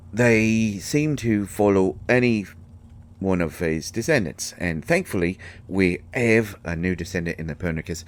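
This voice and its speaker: A man playing a shy, kindly mushroom fairy in a roleplay audio drama, speaking haltingly with folksy, ungrammatical phrasing. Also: sits low. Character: shy, kindly male mushroom fairy living in magic library. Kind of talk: scripted roleplay audio drama addressed to the listener.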